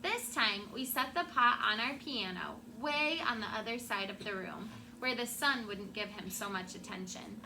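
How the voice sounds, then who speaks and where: A woman teacher reading a picture book aloud to her class in an expressive storytelling way, with asides to the children. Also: medium pitch, moderate pace. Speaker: elementary school teacher. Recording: clearly noisy classroom recording.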